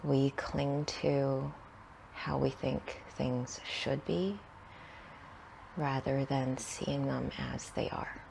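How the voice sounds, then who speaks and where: A woman leading a guided meditation in a soothing, unhurried manner, leaving long pauses between short phrases, with soft, breathy endings. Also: rumbling low, steady tone, noisy background.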